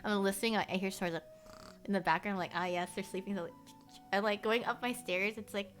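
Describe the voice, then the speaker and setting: A woman's voice: mid-range voice, steady tone, brisk, fairly bright tone, soft background music. A young woman whose first language is Korean, livestreaming casual chat with viewers. She rambles, fills her talk with "like," and laughs often.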